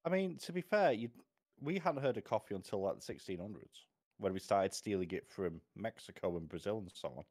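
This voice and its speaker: A man with a British accent and a fairly low voice chats casually as co-host of a reading stream. His manner is dry and teasing, often correcting. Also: lively intonation, brisk, darkish tone. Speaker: co-host of a read-along stream. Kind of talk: casual banter.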